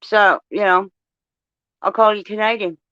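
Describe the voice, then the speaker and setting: An Australian woman in casual chat, blunt and quick to swear as she tells her stories. The sound is dry and close, and a bit dull. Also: medium pitch, clear, resonant tone, no echo. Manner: blunt, swears freely, animated storytelling.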